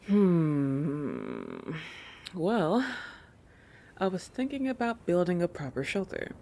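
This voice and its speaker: Casting: a woman as a self-assured, possessive shark girl for roleplay audio, slow and teasing, slipping into breathy whispers. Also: lowish voice, dark tone, slight background noise, full character voice. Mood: musing, eager. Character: possessive, territorial, playful young shark girl. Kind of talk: intimate roleplay audio acting.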